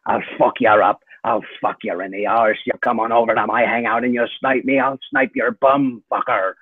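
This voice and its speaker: A man's voice: medium pitch, steady tone, normal speed, slight background noise, close to an everyday voice. A man voicing a foul-mouthed, belligerent Scotsman, ranting threats in a put-on Scottish accent. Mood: threatening. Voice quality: gravelly, husky, aggressive threatening rant. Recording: tinny, dry phone line.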